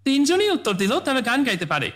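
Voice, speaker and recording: A man's voice: extremely high pitch, wildly swooping pitch, fast talker, very noisy. A man narrating a scripted cartoon tale for children as the storyteller. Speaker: storyteller and channel host.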